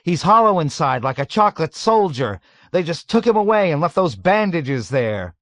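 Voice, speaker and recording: A man voicing an anguished, wild-eyed soldier in an audiobook story, shouting emphatically. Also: sits high, huge pitch swings, moderate pace, dry recording, voice pushed up, full character voice. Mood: horrified anguish.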